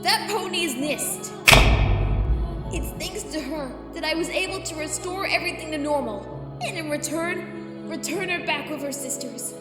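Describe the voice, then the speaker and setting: A woman voicing an emotional, brave young female pony hero in a fan audio drama. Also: natural voice. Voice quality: high-pitched, youthful. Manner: excitable, earnest, openly emotional. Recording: roomy reverb under loud music.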